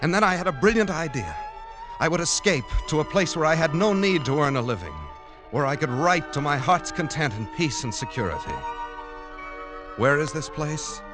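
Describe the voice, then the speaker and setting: Guy acting as self-satisfied hermit poet doing diary monologue, old radio play, very expressive, music underneath. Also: fairly high pitch, moderate pace, natural voice. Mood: exultant, dreamy. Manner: theatrical, precise, self-satisfied. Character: exultant, eccentric reclusive male poet reading his diary. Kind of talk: 1940s radio drama monologue. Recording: vintage radio recording with music.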